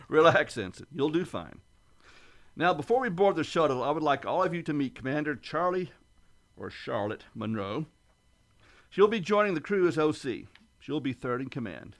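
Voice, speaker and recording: A man acts out a commanding but good-humoured starship captain for a sci-fi radio play. His voice sits a little high and moves around with lively emphasis, with the authority of someone used to giving orders. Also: normal speed. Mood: genial, welcoming. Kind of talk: science fiction radio play acting.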